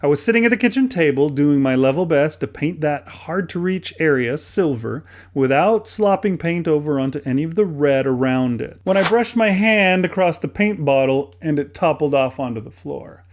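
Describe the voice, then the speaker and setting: A man's voice: a little high, expressive, moderate pace, few pauses. A middle-aged American man narrates a how-to hobby video from a script, conversationally and with light self-deprecation. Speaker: toy hobbyist and video host.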